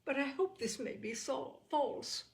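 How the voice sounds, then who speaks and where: A woman voicing a gentle, anxious, forgiving young sister, earnest and measured, in a storybook reading. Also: high-pitched, expressive, creaky, pitched above the performer's own voice, full character voice. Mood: earnest, regretful.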